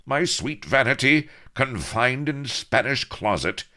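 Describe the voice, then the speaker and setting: A man's voice: sits high, wildly swooping pitch, slow, a bit bright, pitched above the performer's own voice, heavily acted. A man voicing a gallant, melodramatic pirate hero in a comic audiobook, florid and declamatory. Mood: anguished, incredulous. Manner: florid, declamatory, archaic speech.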